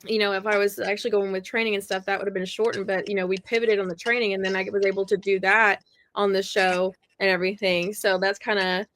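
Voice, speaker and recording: A woman's voice: mid-range voice, quick pace. A woman from Oklahoma in her thirties, a wrestling ring announcer, chatting in a podcast interview and telling stories with frequent laughs. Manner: chatty, laughs often, animated storytelling.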